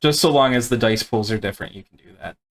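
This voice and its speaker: A man acting as game master, explaining rules and narrating tabletop play in a halting, unscripted way. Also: medium pitch, a little hiss or hum.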